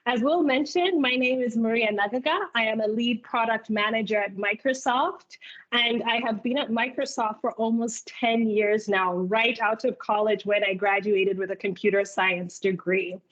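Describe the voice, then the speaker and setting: A woman from Uganda presents in her thirties, her voice sitting a little high as she moves steadily from point to point. She speaks like a practiced keynote speaker laying out a clear agenda. The sound is dull and muffled, like audio from a video call. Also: normal speed, fairly bright tone. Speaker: keynote speaker and product manager. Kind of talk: presentation at a virtual hiring event.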